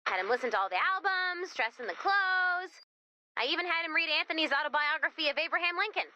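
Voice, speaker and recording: Very high-pitched, perky robot gal. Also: female voice, expressive, quick pace, pushed and bright, no room sound, highs cut off, full character voice. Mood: sheepish. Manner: gushing, quippy, theatrical. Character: perky, excitable robot woman, nerdy music superfan. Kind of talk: video game character dialogue.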